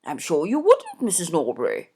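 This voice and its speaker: Casting: courteous young gentleman sleuth, calm and measured, voiced by a woman. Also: lowish voice, slightly rough, exaggerated voice. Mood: polite. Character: polite, cautious young gentleman investigator.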